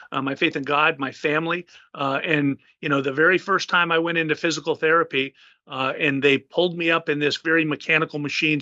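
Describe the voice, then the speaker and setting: A man in his fifties, a retired admiral, answering interview questions in a halting way with many filler sounds. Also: fairly high pitch, bright, forceful tone, dry recording, highs cut off. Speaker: guest author, retired admiral.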